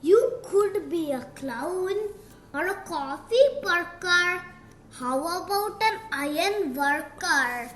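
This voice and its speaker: A young girl reading a rhyming picture book aloud in a deliberate, sing-song storytime style. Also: extremely high pitch, expressive, a bit bright. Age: child. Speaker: young reader of picture books. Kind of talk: read-aloud storytime narration of rhyming verse. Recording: roomy echo, music playing behind. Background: music.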